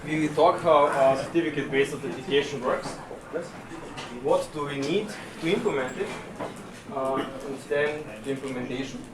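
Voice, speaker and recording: Man talking in an echoey hall. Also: fairly high pitch. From Serbia. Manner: relaxed and chatty, pausing often on fillers. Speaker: conference speaker. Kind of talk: technical presentation. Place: conference session room. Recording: echoey room, distant mic, room noise.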